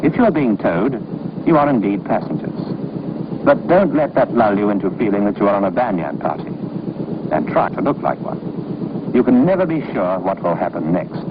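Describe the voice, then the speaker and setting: A man's voice: lowish voice, sing-song, normal speed. A man reading scripted training-film narration in a formal, instructive, authoritative manner. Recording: muffled, noisy old film soundtrack.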